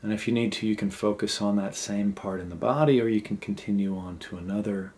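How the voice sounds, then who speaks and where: A man with a fairly low voice leads a guided meditation. He speaks gently and without hurry, in short phrases with pauses between them. The delivery is unscripted, as if he is finding each instruction as he goes.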